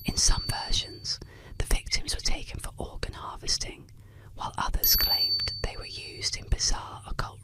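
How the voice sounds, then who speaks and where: A woman's voice: very high voice, poor audio. Whispering woman telling eerie bedtime legends. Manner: slow, hushed, deliberate phrasing with pauses. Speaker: ASMR host and storyteller. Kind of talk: whispered ASMR scripted narration of eerie legends. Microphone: close-up microphone.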